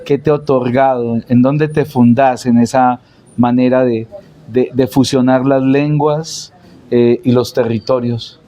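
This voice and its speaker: A man's voice: mid-range voice, steady tone, measured pace, dark tone. A man asking long, elaborate interview questions.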